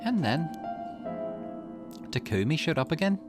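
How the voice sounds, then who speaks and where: A man's voice: fairly high pitch, very animated, unhurried, loud music behind the voice, voice pushed up. A man voicing a tough, grieving young woman in visual novel game dialogue, with an indignant, dramatic delivery. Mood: bitter realization.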